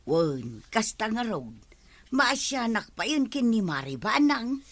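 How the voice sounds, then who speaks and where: A woman's voice: fairly high pitch, very animated, takes it slowly, a bit bright, full character voice. An actress with a Filipino accent playing a gossipy, chiding village woman in a radio comedy drama.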